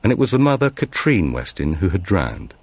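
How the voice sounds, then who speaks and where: A man's voice: low voice, wildly swooping pitch, brisk, darkish tone, husky, no echo, exaggerated voice. A man reading as a blunt, persistent policewoman, direct and insistent in questioning.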